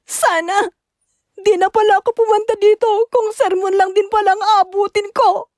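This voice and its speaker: An actress playing a jealous, nagging young wife in an audio drama, scolding and accusing with rapid questions. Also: female voice, very high voice, steady tone, darkish tone. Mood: tearful, aggrieved. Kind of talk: Tagalog radio-style drama acting.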